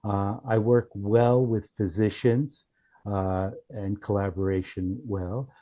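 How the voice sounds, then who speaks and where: A man giving a casual software demonstration in a halting way, thinking aloud as he goes. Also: fairly low pitch, fairly even intonation, muffled recording. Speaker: company founder demonstrating software. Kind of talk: casual product demo.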